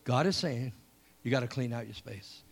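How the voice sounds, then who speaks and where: A middle-aged man from England, preaching a sermon with animated storytelling, repeated points and slow, drawn-out scripture reading. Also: medium pitch, vocal fry.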